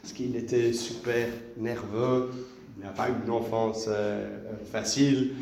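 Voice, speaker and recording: A man's voice: medium pitch, measured pace, far from the mic, slight background noise. Man preaching casually in an echoey church. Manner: relaxed, chatty storytelling. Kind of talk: conversational sermon, retelling a film.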